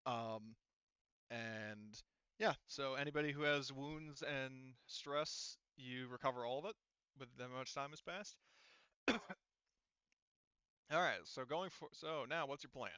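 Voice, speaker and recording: Clear, bright-voiced man running a game. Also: medium pitch, no echo, dull audio. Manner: conversational, improvised, halting delivery. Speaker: game master. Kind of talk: narrating a tabletop roleplaying game.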